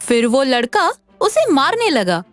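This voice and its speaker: A woman reading scripted narration for an animated children's story. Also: high-pitched, unhurried, no echo, faint music behind.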